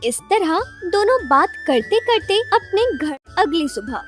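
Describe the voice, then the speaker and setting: A woman's voice: very high-pitched, big pitch swings, a little slow, soft background music. A woman narrating a scripted children's moral story.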